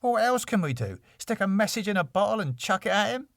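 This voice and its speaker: A man's voice: high voice, big pitch swings, quick pace, creaky, a bit bright, no room sound, clean studio sound, pitched above the performer's own voice, heavily acted. A man voicing a cheeky male sidekick in a sitcom script, teasing and sarcastic.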